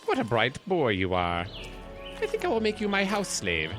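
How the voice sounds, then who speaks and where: A man's voice: fairly high pitch, wildly swooping pitch, normal speed, over music. An actor playing a pompous, eccentric old man who rules his own island, with grandly formal, courteous yet menacing speech in an audio drama. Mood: grandiose menace. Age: older.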